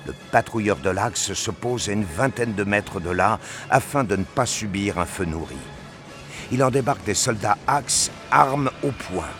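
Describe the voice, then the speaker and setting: Low-voiced male story narrator over music. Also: expressive, moderate pace, fairly bright tone. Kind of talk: dramatic scripted narration of a sci-fi action story.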